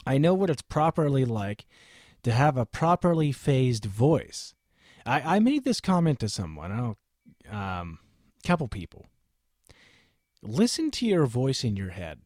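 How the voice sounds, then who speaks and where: A self-assured man in a small home studio holds forth on microphones in an emphatic, lecturing way. His pitch swings widely from word to word. He speaks close to a studio mic in a dry room with no echo. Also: very animated, a little slow, pauses often, a little mellow. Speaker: microphone reviewer. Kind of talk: opinionated gear review to camera. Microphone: studio microphone, switched during the video.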